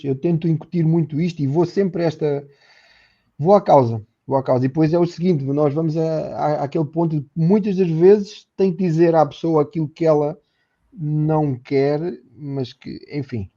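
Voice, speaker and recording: Portuguese guy w/ a gravelly dark voice, earnest explainer, dull lo-fi sound. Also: fairly high pitch, moderate pace. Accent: European Portuguese. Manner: earnest, explanatory, repeats words while thinking. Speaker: therapist guest. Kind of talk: conversational podcast interview.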